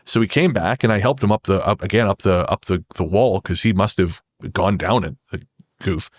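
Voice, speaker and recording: A man's voice: deep voice, moderate pace, no room sound, studio quality. A man speaking as a podcast guest, telling personal stories in a casual, reflective and wry way. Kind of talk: casual conversational storytelling.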